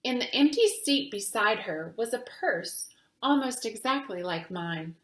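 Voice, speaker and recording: A woman reading a children's book aloud in a deliberate, teacherly way, pausing to speak to young listeners. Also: fairly high pitch, lively intonation, reverberant. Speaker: reader of children's books for a virtual summer camp. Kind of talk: read-aloud storytelling with asides to children.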